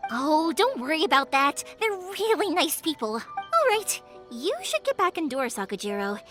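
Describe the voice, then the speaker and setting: A woman voicing a kind, energetic young fireworks maker with a breezy, reassuring manner in a video game. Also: high voice, moderate pace, music in the background. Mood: resolved, brisk.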